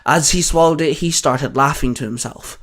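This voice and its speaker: A man's voice: on the high side, normal speed, a bit bright. A man reading scripted fan fiction as a story narrator.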